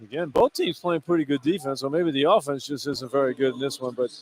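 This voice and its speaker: A man's voice: medium pitch, fairly even intonation, quick pace. A man giving colloquial, opinionated color analysis during a live radio basketball broadcast from an indoor arena. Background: clear arena noise.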